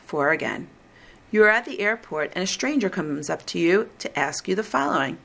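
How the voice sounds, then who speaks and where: A woman reading the script of a recorded listening exam in a slow, deliberate, evenly paced way. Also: on the low side, big pitch swings, vocal fry, fairly bright tone. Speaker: exam reader.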